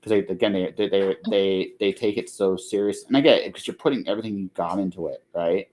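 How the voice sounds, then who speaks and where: A man's voice: deep voice, fast, a little mellow. A man hosting a podcast in casual chat, rambling as he repeats and rephrases himself. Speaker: podcast host.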